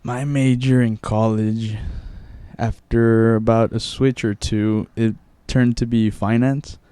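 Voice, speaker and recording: Soft-toned, hesitant young man recalling. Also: mid-range voice, flat delivery, slow pace, clear, resonant tone, no echo. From Mexico. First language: Spanish. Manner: hesitant, repeats words while recalling. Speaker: guest. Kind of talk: casual podcast chat.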